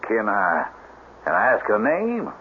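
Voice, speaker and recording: Folksy Southern storekeeper, lively swooping voice. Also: male voice, lowish voice, a little slow. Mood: prying, concerned. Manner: folksy, hesitant drawling banter. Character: folksy old country storekeeper, friendly but firm. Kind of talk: radio drama acting. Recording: tinny, hissy old radio broadcast recording.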